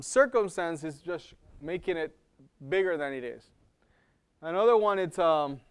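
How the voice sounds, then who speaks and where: Man, accented english, smooth clear voice, conversational lecture, repeats words, asks "okay?". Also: a little high. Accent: non-native English. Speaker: health program lecturer. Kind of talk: live classroom lecture.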